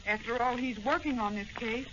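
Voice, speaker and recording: A woman acts as a bold, earnest young heroine of a crime serial. The voice is high and melodramatic, in the old radio manner. It comes through a muffled recording with clear noise. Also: fairly quick, lightly acted. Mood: firm, suspicious. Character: earnest, bold young society daughter of police commissioner. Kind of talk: 1940s radio serial acting. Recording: noisy, band-limited old radio recording.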